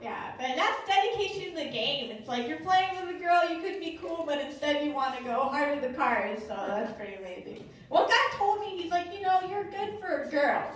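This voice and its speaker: A woman's voice: sits high, animated, a little fast, few pauses. A woman in her early thirties doing a conversational, self-deprecating stand-up set, acting out short bits of dialogue. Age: early 30s. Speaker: stand-up comedian. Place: live stand-up venue. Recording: roomy, echoing live recording from a distance.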